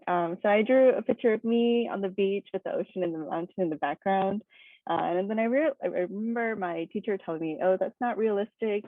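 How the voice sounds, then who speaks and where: A young woman from Hawaii talks casually to a group of educators, filling her speech with "um" and "like" and light laughs. Her voice sits at a middle pitch and comes through a thin, phone-like video call connection with no room sound. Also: no echo. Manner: chatty, many fillers and laughs. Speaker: guest speaker. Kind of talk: casual personal talk. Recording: dry tinny video call audio.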